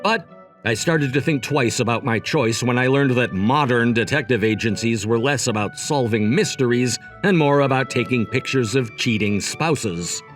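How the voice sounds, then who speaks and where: A man acting as a cocky young romcom lead in a manga dub, wordy and self-satisfied. His pitch is middling but swings widely through dramatic asides. Music plays behind him. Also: natural voice. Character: cocky, logic-obsessed young male job seeker, teasing romcom narrator. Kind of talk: scripted manga dub narration and dialogue.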